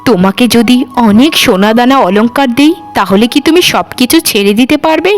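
A woman's voice: on the high side, animated, brisk, soft background music, natural voice. Woman acting solemn, questioning water nymph. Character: solemn, questioning female water spirit granting wishes.

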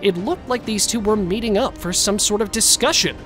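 A man narrates a scripted countdown in a slightly higher-than-average voice. He builds suspense and turns to the viewer with rhetorical questions. Quiet music plays underneath him. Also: fairly quick.

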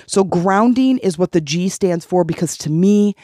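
A woman's voice: lowish voice, animated, moderate pace, warm tone, no echo, studio quality. A woman hosting a healing podcast, talking earnestly and encouragingly, often repeating phrases to press a point. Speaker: podcast host and life coach. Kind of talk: motivational solo podcast talk.

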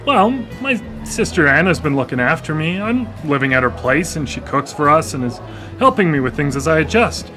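Male actor as awkward newly blind guy; stammering, wry, lively pitch. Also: moderate pace, music in the background. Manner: hesitant and stammering, wry humor. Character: newly blind man, awkward, self-deprecating. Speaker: lead character in a radio drama. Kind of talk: live radio drama acting. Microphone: studio microphone.

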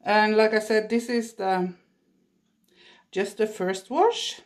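Slow-talking Norwegian woman demonstrating watercolor. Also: mid-range voice, fairly even intonation. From Norway. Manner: chatty, self-deprecating, laughs often. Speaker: watercolor artist. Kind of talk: casual painting demonstration. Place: indoors at a painting table. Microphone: phone.

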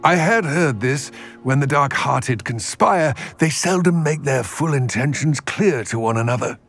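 A man voicing a wary, elderly goblin trader in a video game, with measured, formal phrasing and a weathered voice. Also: medium pitch, animated, a bit bright, soft background music. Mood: solemn. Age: older. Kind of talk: dramatic video game dialogue.

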